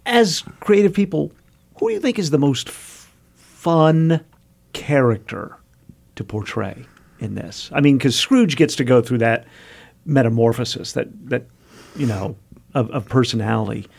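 Guy hosting a radio interview, fry in his voice, mid pitch, chatty questions. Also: animated, unhurried, darkish tone.

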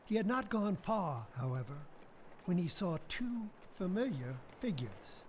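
Expressive, unhurried storybook narrator. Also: male voice, high-pitched, a little hiss or hum. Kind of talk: theatrical radio play narration.

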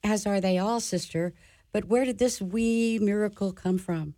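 A woman's voice: medium pitch, dark tone, no echo, natural voice. A woman playing a blunt, teasing nun with a style in a radio play. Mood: wry, teasing. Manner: dry teasing, wry drawn-out lines. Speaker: actor in a community radio play.

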